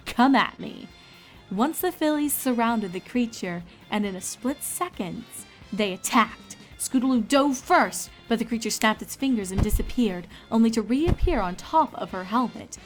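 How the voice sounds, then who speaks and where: A woman's voice: on the high side, poor audio. A woman reading scripted story narration as the narrator of a fan fiction.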